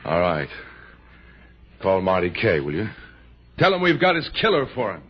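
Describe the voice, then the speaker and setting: A man's voice: low voice, huge pitch swings, normal speed, slightly rough, heavily acted. An actor playing a hard, threatening man in an old-time radio drama, bent on getting the truth. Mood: grim, decisive. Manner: forceful, threatening. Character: hard, menacing tough man forcing out a confession. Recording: muffled, noisy vintage radio broadcast.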